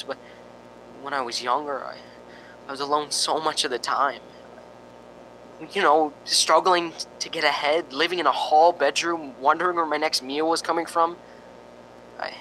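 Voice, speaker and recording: A man's voice: fairly high pitch, bright, forceful tone, slight background noise, rough recording. A man playing a nervous, lonely radio reporter in a spooky radio drama, stammering and rambling with sighing ohs. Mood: lonely, sad. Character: jittery, lonely radio reporter broadcasting from a haunted house.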